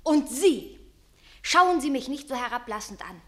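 High-voiced, haughty leading lady. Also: lively intonation, a little slow. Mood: indignant. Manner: haughty, sharply articulated. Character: proud, headstrong leading actress with a temper. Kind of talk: theatrical radio drama acting.

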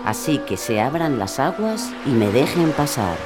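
An actress with a Castilian accent playing a wry, exasperated pirate sidekick in a scripted radio comedy. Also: female voice, very deep voice, sing-song, unhurried, heavily acted. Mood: incantatory reading. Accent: Castilian Spanish. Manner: wry, colloquial. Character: sardonic, exasperated but game female sidekick turned pirate. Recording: studio recording under loud music.

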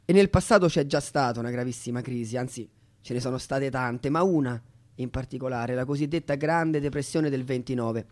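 A man with a medium-pitched voice narrates a scripted theatre piece. He speaks like a storyteller, setting out each phrase deliberately for the stage. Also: a little mellow.

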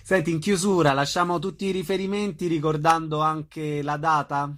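A man's voice: a little high, flat delivery, moderate pace, a bit bright. A man hosting a radio show, asking questions in a conversational interviewing style.

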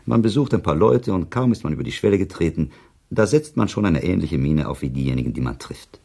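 A man's voice: low-pitched, lively intonation, fast, vocal fry, dark tone, heavily acted. An actor playing a cynical, impatient male listener who contradicts in a dry, argumentative manner, in a literary radio play. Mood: sardonic, world-weary.

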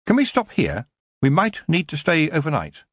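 A man's voice: fairly high pitch, wildly swooping pitch, moderate pace, husky, no room sound, studio quality, pitched above the performer's own voice, full character voice. A man voicing an earnest, lifted young witch. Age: young adult. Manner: earnest, frank. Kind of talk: audiobook character voice.

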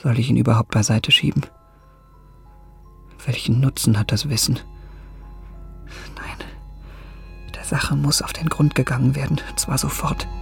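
A man playing a vain, brooding young gentleman in a radio drama, speaking intensely and inwardly, partly in whispers. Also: mid-range voice, steady tone, a little slow, gravelly, long pauses, soft background music. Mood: uneasy brooding.

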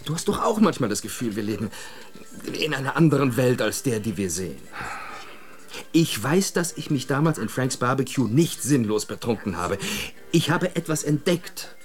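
A man's voice: medium pitch, sing-song, moderate pace, lightly acted. A man playing a restless, obsessed, persuasive character in a radio play, speaking with insistent emphasis. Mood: pleading, urgent. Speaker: actor in a radio drama. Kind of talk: German radio play acting.

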